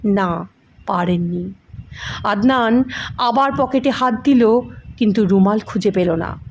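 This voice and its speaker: A woman's voice: medium pitch, slow, a little hiss or hum. A woman reading a ghost story as dramatic scripted narration. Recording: music under the voice. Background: background music.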